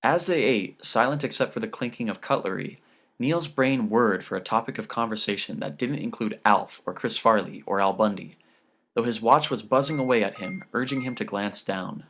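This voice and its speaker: A man's voice: on the low side, moderate pace, a bit bright. A man narrating scripted literary fiction as an audiobook reader.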